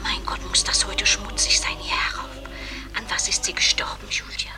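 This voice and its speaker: A woman's voice: mid-range voice, little pitch movement, moderate pace, in a whisper, music in the background, close to an everyday voice. An actress playing a chatty, sentimental and nostalgic dead townswoman in a radio drama. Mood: curious, complaining. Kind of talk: theatrical radio drama acting.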